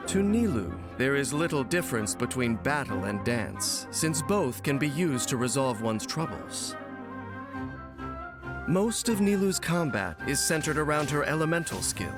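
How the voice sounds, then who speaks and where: A solemn, world-weary warrior narrating a fantasy game trailer. His delivery is formal and measured at a middling male pitch, with prominent instrumental music behind him. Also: very animated. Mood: measured, instructive.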